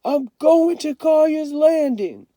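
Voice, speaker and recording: A man voicing a stubborn, indignant young boy in a storybook, loud and whiny. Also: very high-pitched, lively intonation, slow, no echo, voice pushed up, exaggerated voice. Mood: indignant, defiant.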